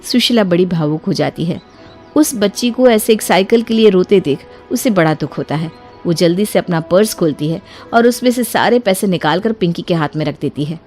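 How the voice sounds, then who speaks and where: A woman's voice: fairly low pitch, expressive, moderate pace, darkish tone, soft background music. A woman working as narrator, reading a scripted story in a storytelling style.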